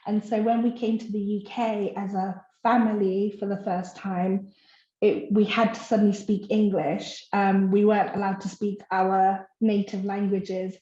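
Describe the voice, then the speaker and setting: A woman's voice: mid-range voice, warm tone. A woman in her twenties, raised in the Philippines and a native Tagalog speaker, speaks openly and reflectively as a panel guest, with frequent ums. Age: 20s. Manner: open, reflective, with many ums. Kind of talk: video panel discussion. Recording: roomy, dull-sounding audio.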